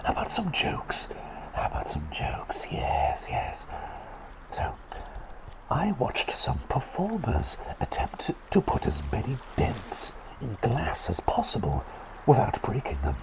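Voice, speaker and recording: A man voicing a kindly, forgetful doctor turned talking bird in a gentle ASMR roleplay, breathy and half-whispered, often hesitating and repeating his phrases. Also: mid-range voice, huge pitch swings, takes it slowly, full character voice, rough recording. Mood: playful. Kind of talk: ASMR roleplay with personal attention.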